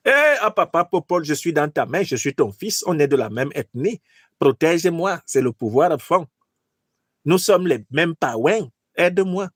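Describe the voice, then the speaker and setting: Casting: male performer as sheepish army strongman turned president, insecure, halting, pleading, political satire, dry close recording. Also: a little high, lively intonation, no room sound. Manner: halting, repeats phrases.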